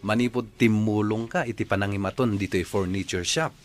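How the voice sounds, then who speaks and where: An actor with an Ilocano accent playing a good-natured, teasing young bachelor brother in a radio drama. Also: male voice, fairly low pitch, steady tone, fairly quick, natural voice. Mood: teasing, admiring.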